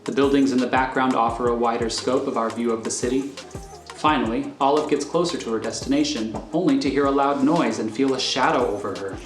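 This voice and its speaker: A man's voice: mid-range voice, a little fast. A man reading scripted screenplay narration as the narrator of a thriller. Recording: roomy recording over faint music.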